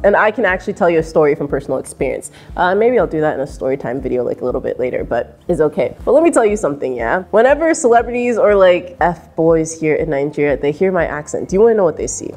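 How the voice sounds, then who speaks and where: A woman in her twenties with an American accent, giving sassy, animated advice straight to camera in an indoor room. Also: fast talker. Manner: sassy and animated, mimics voices in high squeals. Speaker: vlogger. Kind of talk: direct-to-camera advice vlog. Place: indoor room with painting behind.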